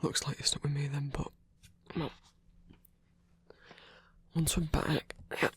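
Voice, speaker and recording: A man voicing a gruff but caring male werewolf lover in an ASMR romance roleplay, with a British accent, a raspy half-whisper and a casual, coaxing manner. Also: on the high side, level tone, a bit bright. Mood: practical, reassuring. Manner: casual, coaxing, hesitant pauses.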